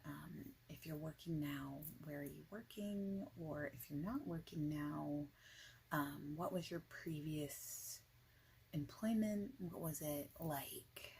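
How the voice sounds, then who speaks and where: A woman playing a friendly, informal boss in an ASMR interview roleplay, speaking softly and haltingly with whispered phrases. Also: deep voice, slight background noise, poor audio. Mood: casual, welcoming. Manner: halting, casual, soft-spoken, with breathy whispered phrases.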